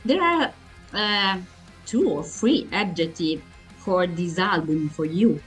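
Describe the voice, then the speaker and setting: A woman asks interview questions in halting, speech. She speaks very slowly and on the low side, often stopping to search for a word. Faint music plays underneath. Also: expressive, rough recording. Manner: halting, searching for words in non-fluent speech.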